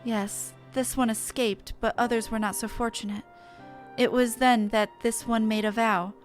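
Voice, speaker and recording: Woman acting out an earnest high-voiced sylph over music. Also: level tone, normal speed, lightly acted. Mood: solemn, confessional. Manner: stilted, formal, archaic phrasing. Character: earnest sylph, forest sprite speaking in third person.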